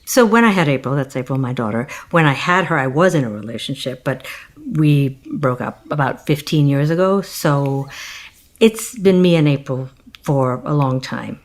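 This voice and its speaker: A middle-aged single mother in a comedic radio play, with a low voice. She speaks in halting, polite bursts, flustered and apologetic, as if keeping something discreet. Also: lively intonation. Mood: awkward, earnest. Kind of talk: naturalistic comedic audio drama.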